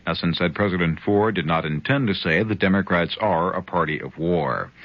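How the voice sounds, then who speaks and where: A man reading a radio news bulletin in a measured, formal way. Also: deep voice. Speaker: newsreader. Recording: lo-fi 1970s radio with faint hiss.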